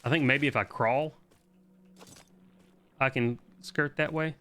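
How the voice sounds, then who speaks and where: A man's voice: medium pitch, steady tone, takes it slowly, bright, forceful tone, soft background music. A man hosting a video game guide, giving casual, informal walkthrough commentary with the odd swear word.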